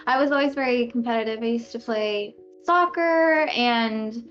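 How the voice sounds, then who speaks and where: High-voiced young woman, pro gamer guest on a podcast, laughs easily, muffled lo-fi audio. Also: normal speed, a bit bright. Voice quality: high-pitched voice. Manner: laughs often while telling stories. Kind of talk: casual podcast interview. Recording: dull lo-fi audio.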